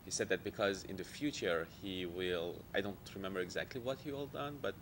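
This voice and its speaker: Audience man, very low voice, hesitant with fillers, faint room rumble. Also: fairly quick. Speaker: audience member asking a question. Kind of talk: asking a question.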